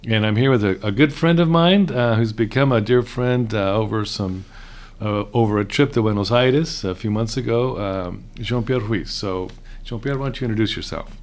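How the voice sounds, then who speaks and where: A middle-aged man from Houston hosting a relaxed conversation, chatty with frequent hesitations. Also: lowish voice, normal speed. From raised in Houston. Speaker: host, seminary professor. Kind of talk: casual conversation.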